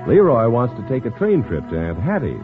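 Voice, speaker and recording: A man's voice: medium pitch, huge pitch swings, fairly quick, narrow, tinny audio, music in the background. A man working as a radio announcer, reading a scripted 1950s commercial and narration with a polished sales delivery. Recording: thin, band-limited old radio broadcast.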